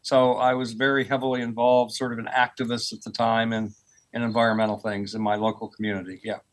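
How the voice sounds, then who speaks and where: A man's voice: mid-range voice, level tone, quick pace. American man in his sixties, interview guest. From United States, rural Oklahoma. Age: 60s. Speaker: guest, earth sciences professor. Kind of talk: conversational interview answers.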